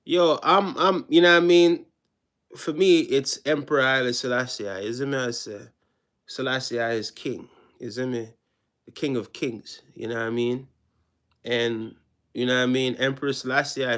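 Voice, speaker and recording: A man's voice: medium pitch, fairly bright tone. A man touched by talk, chatting casually on a live stream in a slangy way, often checking that listeners follow. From Jamaica. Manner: slangy, repeats check-in tag phrases.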